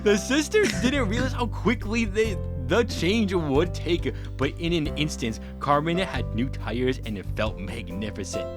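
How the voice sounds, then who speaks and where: A man casually reading a story aloud on a podcast, often stumbling and correcting himself mid-sentence. His voice sits a little higher than average and rises and falls a lot. Guitar music plays underneath him. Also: lively intonation, measured pace, few pauses. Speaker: podcast host reading fanfiction.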